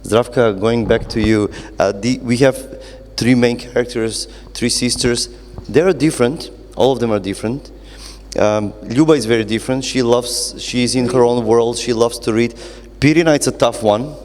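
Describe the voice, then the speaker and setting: A man moderates a literary conversation in English. His voice sits at an ordinary male pitch and rings with long hall reverb. He feels his way through questions, restarting and rephrasing as he goes. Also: darkish tone. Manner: halting, rephrasing as he builds questions. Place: indoor event space with reverberant acoustics.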